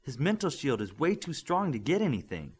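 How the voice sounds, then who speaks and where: Man voicing a calm young telepath, matter-of-fact, lively pitch. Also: fairly quick, breathy edge.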